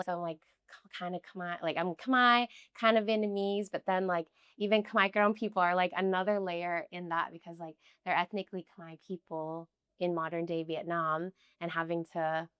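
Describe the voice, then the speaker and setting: Young american woman, chatty and earnest, says like a lot, lowish voice. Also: steady tone, normal speed, fairly bright tone, clean tone, no echo. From United States. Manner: chatty, many "like" fillers, earnest. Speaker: guest. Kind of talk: casual conversational interview.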